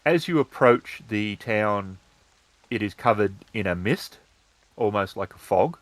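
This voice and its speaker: Slow, low-voiced male storyteller. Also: expressive, no room sound, low-quality recording. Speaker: game master. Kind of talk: narrating a tabletop roleplaying game.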